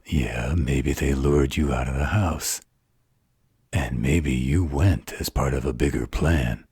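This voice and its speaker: A man's voice: very deep voice, takes it slowly, darkish tone, husky, dry recording, clean studio sound, heavily acted. A man playing a snarky, distrustful supernatural fighter in an audio roleplay, with a mocking drawl. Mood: accusing.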